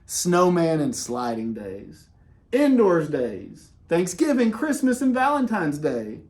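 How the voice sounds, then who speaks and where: A man reading a children's picture book aloud in an expressive way, with dramatic pauses and casual, conversational delivery. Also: on the high side. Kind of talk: animated read-aloud with casual asides.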